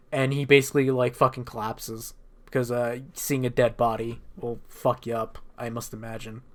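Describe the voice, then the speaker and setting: A man with a medium-pitched voice talks casually without a script. He rambles through a game recap as a video creator would, using loose slang and frequent profanity.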